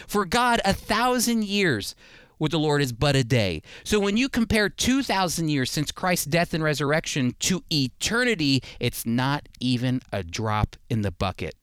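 A man's voice: fairly high pitch, a bit bright, clear, resonant tone, no echo. A man preaching a sermon, addressing listeners directly and repeating key points for emphasis. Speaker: preacher and Bible teacher.

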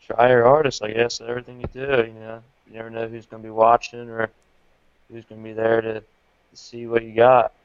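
A male podcast guest who speaks in a flat, monotone way and keeps his answers short and low-key. His voice is smooth and clear, but the line is dry and dull, like a remote call. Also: fairly quick, no room sound. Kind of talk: casual podcast chat.

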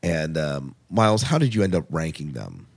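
A man's voice: very deep voice, sing-song, moderate pace, gravelly, breathy edge. A man hosting a podcast, chatting casually about television in an informal, rambling, opinionated way. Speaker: podcast host. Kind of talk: casual TV review chat.

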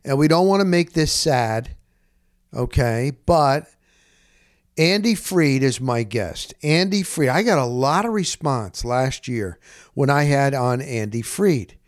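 A man's voice: medium pitch, animated, slow. A man hosting a podcast, talking casually in a rambling, emphatic way and repeating phrases for stress. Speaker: podcast host. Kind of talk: casual conversational podcast introduction.

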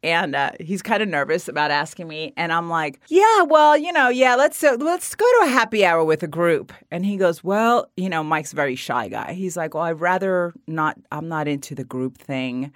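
A woman in her forties, a guest telling stories with lively, acted-out quotes. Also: normal speed, hardly any pauses, dry recording, studio quality. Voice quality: low raspy voice in the mornings. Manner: animated storyteller, acts out quotes with big pitch swings. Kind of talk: casual interview chat.

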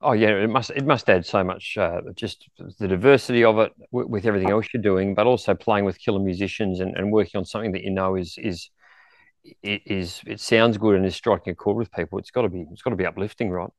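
A man with a fairly low voice hosts a conversational interview. The sound is close and dry, and he phrases things in an encouraging, roundabout way. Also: steady tone, fast, no echo. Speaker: interview host.

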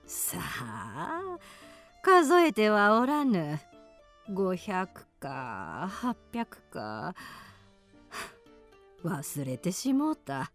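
An ancient, prophetic old woman of the mountains in a radio drama. She speaks very slowly in archaic, weighty phrases, with wide melodic swings. The voice is rather low and recorded dry. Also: wildly swooping pitch, fairly bright tone, soft background music, heavily acted. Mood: cryptic, calm.

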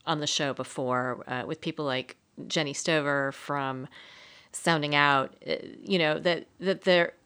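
Bright, clear, low-pitched woman co-hosting. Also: steady tone, measured pace. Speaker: podcast co-host. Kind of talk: casual conversational podcast talk.

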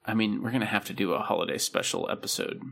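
A young man co-hosting a podcast, speaking with a gravelly, creaky texture. He chats in a laid-back, rambling way and fills his pauses with plenty of ums. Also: lowish voice, fairly bright tone. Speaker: podcast co-host. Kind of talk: casual chat.

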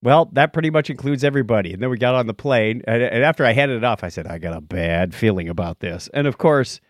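Man with lively, swinging intonation. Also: mid-range voice, quick pace, no room sound, studio quality. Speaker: podcast co-host. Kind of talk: casual chat and anecdotes.

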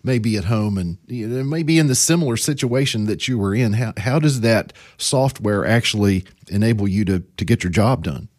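Smooth podcast host, man. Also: on the low side, normal speed, few pauses, a little mellow, dry recording, studio quality. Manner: smooth, prepared questions. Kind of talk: podcast interview.

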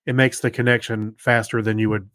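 A man's voice: fairly low pitch, little pitch movement, a little fast, fairly bright tone, no echo, studio quality. A man co-hosting a podcast, chatting casually and explaining things in a loose, rambling way. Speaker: podcast co-host. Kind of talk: casual chat.

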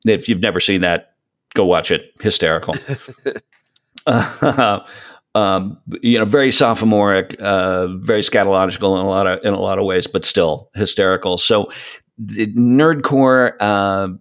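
A man's voice: fairly low pitch, a little slow, fairly bright tone. A man chatting as a podcast guest, explaining things in an enthusiastic, rambling way. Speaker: podcast guest, voice of an audio show. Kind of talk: casual conversational interview.

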